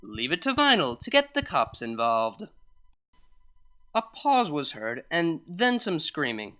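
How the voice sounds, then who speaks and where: A narrator reading fan fiction aloud in an animated storytelling style. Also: mid-range voice, measured pace.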